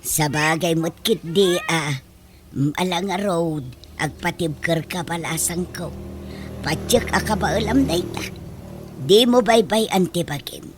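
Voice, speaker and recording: A woman's voice: lowish voice, measured pace, soft background music, lightly acted. A woman playing a fond, encouraging older mother in a radio drama. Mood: consoling. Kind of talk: comedy radio drama acting. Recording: noticeable background noise.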